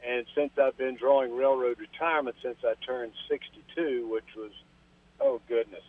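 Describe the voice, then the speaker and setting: A man's voice: medium pitch, steady tone, moderate pace. A man in his seventies calling in to a radio show, polite and earnest, addressing the host as ma'am. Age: 70s. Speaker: caller. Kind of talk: call-in radio question. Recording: phone-line call.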